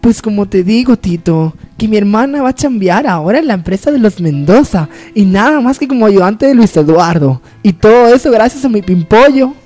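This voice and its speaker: A woman's voice: medium pitch, expressive, normal speed, few pauses, mellow, faint music behind, natural voice. A woman playing a doting, bossy young girlfriend in an amateur radio soap opera. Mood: pleased, chatty.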